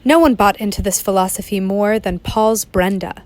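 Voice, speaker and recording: A woman's voice: medium pitch, normal speed, darkish tone, close to an everyday voice. A woman playing a serious, probing investigative reporter in a documentary-style radio play. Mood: grave, intriguing. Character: earnest, suspicious undercover female investigative reporter.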